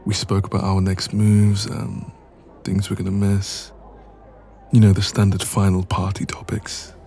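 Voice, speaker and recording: A man playing an insecure, anxious young man confiding in a friend in an intimate audio roleplay, soft-spoken and hesitant. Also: deep voice, monotone, unhurried, creaky, slight background noise. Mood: hesitant, apologetic.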